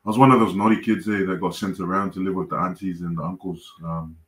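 A man in his thirties from Tonga with a mixed Pacific Island and Australian accent, telling his life story as a podcast guest in a relaxed, laughing way over a video call. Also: low voice, fast. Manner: laid-back storytelling with frequent laughter. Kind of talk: casual chat. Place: home room.

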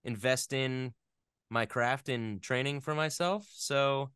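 A man in his thirties chatting casually as an interview guest, thinking aloud in a halting, self-deprecating way. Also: mid-range voice, a bit bright, clean tone, no room sound, clean studio sound. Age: 30s.

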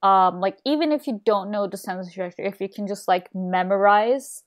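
A young woman from Turkey talks casually on a game stream from a small room at home, close to a desk mic. She rambles, restarts her sentences and fills the gaps with "um" and "like" at a middling pitch. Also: normal speed. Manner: rambling, many fillers and restarts. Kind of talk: casual chat while gaming.

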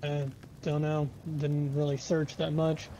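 A man's voice: a little high, completely flat delivery, a little slow, dark tone, muffled recording. A man speaking tersely and matter-of-factly in character in an online roleplay game over voice chat. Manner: matter-of-fact. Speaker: roleplay gamer playing a unit member. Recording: noisy gaming voice chat.

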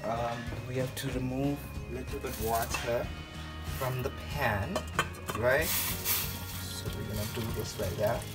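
A man's voice: medium pitch, steady tone, unhurried. A young man hosting a cooking vlog, casually narrating each step in an instructional way and often checking in with "right?". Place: home kitchen, indoors. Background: loud music.

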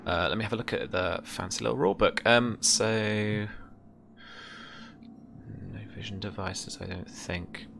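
A man in his thirties narrating a tabletop game as game master, with a hesitant, halting delivery. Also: on the low side, steady tone, gravelly, background noise. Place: home room, indoors.

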